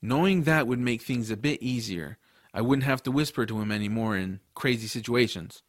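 A man playing a casual, excitable boy hero, telling a fantasy adventure in the first person. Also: medium pitch, moderate pace, no echo, studio quality, close to an everyday voice. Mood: narrating, indignant. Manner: casual, boyish phrasing. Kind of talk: first-person audiobook narration and drama.

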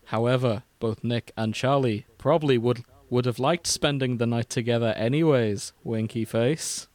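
A British man reads aloud in a mid-range voice, recorded close and dry. He mixes mock-dramatic comic narration with casual banter and deadpan joking asides. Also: mid-range voice, moderate pace, no echo. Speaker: podcast host reading fan fiction aloud.

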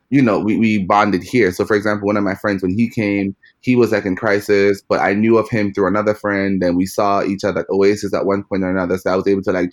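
Man, Jamaican accent, fairly low voice, frank interviewee. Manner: frank, with fillers. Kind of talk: candid personal storytelling.

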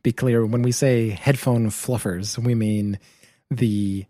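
A man hosting a podcast, thoughtful and unhurried as he talks his ideas through. His voice is mellow and dark, and the close mic sounds dry, with no room around it. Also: lowish voice, steady tone, no room sound. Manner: thoughtful, works through questions aloud. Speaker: podcast host. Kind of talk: casual chat.

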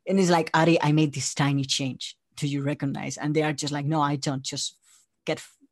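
A man chatting casually as an interview guest, telling things in an animated way by quoting himself and others. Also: a little high, a little fast. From Spain. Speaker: interview guest, audio drama creator. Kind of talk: casual chat.

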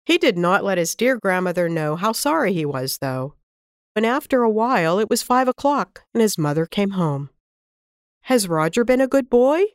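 Expressive woman reading a children's story. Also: medium pitch, moderate pace, no room sound, clean studio sound.

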